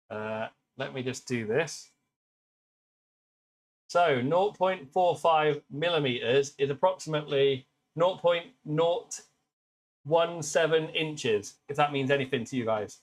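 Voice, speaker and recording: A British man with a naturally loud voice, hosting a casual live stream demo and chatting warmly with viewers. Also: on the high side. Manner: chatty, upbeat, greets viewers by name. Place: workshop with laser engravers.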